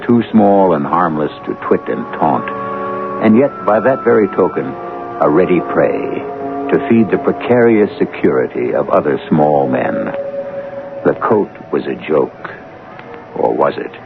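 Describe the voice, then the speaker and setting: A man hosting and narrating a 1970s radio drama in a measured, theatrical, ironic manner. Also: rumbling low, gravelly. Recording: tinny old radio broadcast recording. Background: music.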